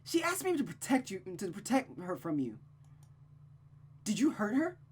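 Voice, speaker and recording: Woman acting a worried young female friend, earnest, protective, higher voice, visual novel drama, faint noise. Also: big pitch swings, moderate pace, lightly acted. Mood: indignant, accusing. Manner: anxious, earnest, questioning.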